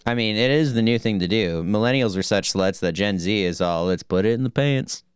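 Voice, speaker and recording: A man's voice: fairly low pitch, a little fast, smooth, dry recording. A young man co-hosting a podcast, laid-back and laughing often in casual chat about a movie. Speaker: podcast co-host.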